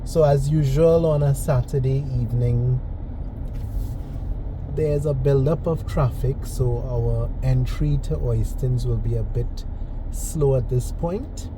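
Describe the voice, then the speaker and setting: A man hosting a driving tour, narrating casually as he drives, polite and measured, carefully correcting himself as he goes. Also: medium pitch. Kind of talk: unscripted narration while driving. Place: inside a moving car. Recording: loud road and engine noise.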